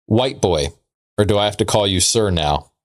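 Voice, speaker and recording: A man's voice: on the low side, lively intonation, normal speed. A man co-hosting a casual podcast, bantering and reading letters aloud in a conversational way. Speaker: podcast co-host.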